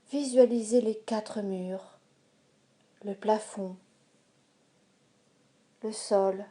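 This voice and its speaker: A woman leading a guided yoga nidra relaxation as the instructor, giving calm, measured, soothing instructions with pauses. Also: mid-range voice.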